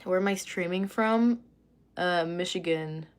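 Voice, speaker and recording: A woman's voice: lowish voice, measured pace, background noise. A woman casually chatting on a livestream, reading and answering viewer comments while doing her makeup, rambling with lots of fillers and asides.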